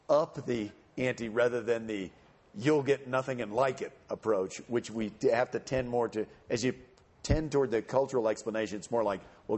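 A man on a panel answering audience questions in a measured, explanatory way. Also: medium pitch, a little mellow, husky. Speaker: panelist speaking for a campaign.

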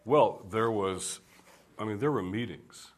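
A man's voice: on the low side, a little slow. A man speaking as a guest author on a discussion panel, earnest, with a preacherly cadence and a habit of telling jokes.

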